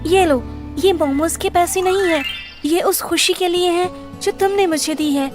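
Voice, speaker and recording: A woman's voice: very high-pitched, a little slow, music in the background, pitched above the performer's own voice. A woman voicing a gracious, delighted rich young lady traveller in a cartoon story, mixing in English exclamations. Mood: delighted, grateful.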